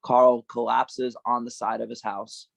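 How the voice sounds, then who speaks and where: A man narrating a screenplay's stage directions at a table read, with a gravelly voice. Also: medium pitch, little pitch movement, a little slow, no room sound.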